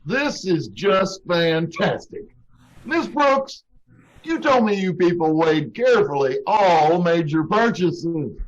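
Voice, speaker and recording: A man's voice: sits high, animated, takes it slowly. A man playing an indignant, exasperated vacuum cleaner salesman in an old-time radio comedy, spluttering his protests. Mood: exasperated, sarcastic. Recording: dull lo-fi video call audio.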